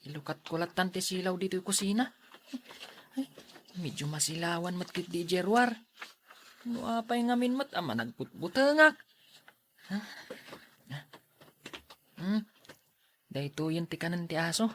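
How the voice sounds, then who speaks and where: A woman's voice: on the low side, expressive, moderate pace, long pauses, a bit bright, a little hiss or hum. A woman playing a timid, easily frightened schoolboy with a fretful, whiny delivery in an Ilocano radio drama. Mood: nervous, frightened.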